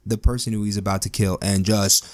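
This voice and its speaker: Low-voiced man, giggly and eager. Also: flat delivery, a little fast. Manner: enthusiastic, giggly, self-mocking. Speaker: podcast co-host. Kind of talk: casual film review chat.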